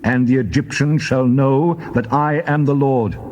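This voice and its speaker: A man playing God as a solemn, commanding deity in a dramatized scripture reading, measured and declamatory. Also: medium pitch, fairly even intonation, lo-fi sound, slight background noise, close to an everyday voice.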